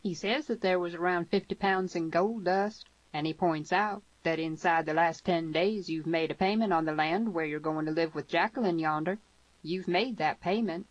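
A woman's voice: fairly low pitch, moderate pace, no room sound, lightly acted. A woman voicing a tough, blunt old cowboy with folksy, emphatic speech in a western audiobook, on a dull recording. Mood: accusing. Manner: folksy rustic grammar, emphatic. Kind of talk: western audiobook character reading.

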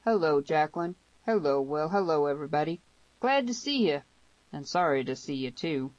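A woman voicing a huge, rough, loud frontier brawler in an audiobook Western, fierce but fair, blustering and emphatic. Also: low voice, expressive, moderate pace, no room sound, dull audio. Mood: grave, firm. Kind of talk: dramatised audiobook dialogue in Western dialect.

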